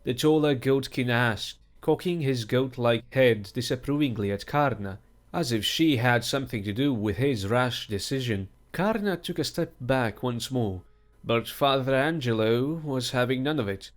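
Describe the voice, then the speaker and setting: A man reading a fantasy story aloud in a measured, even storytelling voice. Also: mid-range voice. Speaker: story reader.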